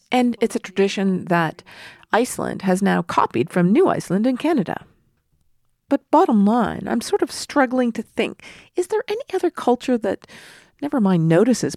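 Low, gravelly woman narrating a travel podcast. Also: mellow, no echo, studio quality. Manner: reflective, wry storytelling. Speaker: podcast host.

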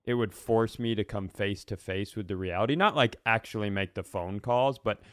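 A man's voice: lowish voice, moderate pace, a bit bright, no room sound, clean studio sound. A man chatting casually as a comedy podcast host, telling rambling, self-deprecating stories.

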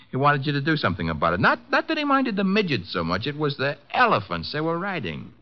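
A man's voice: on the high side, wildly swooping pitch, fast. A man playing a wisecracking, cheeky detective's assistant in a 1950s radio drama, with a wry, teasing, breezy manner. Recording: dull vintage radio recording.

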